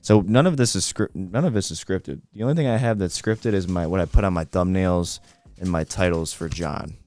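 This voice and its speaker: A man's voice: low-pitched, fairly quick, darkish tone. A man co-hosting a podcast in casual, unscripted chat, informal and agreeable. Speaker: podcast co-host.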